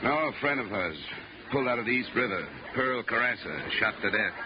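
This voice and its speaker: An American actor playing a cool, hardboiled insurance investigator in a 1950s radio drama, terse and dryly wisecracking. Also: male voice, medium pitch, animated, brisk, close to an everyday voice. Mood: matter-of-fact. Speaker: lead actor and first-person narrator. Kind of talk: 1950s radio detective acting. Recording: muffled, noisy old radio recording.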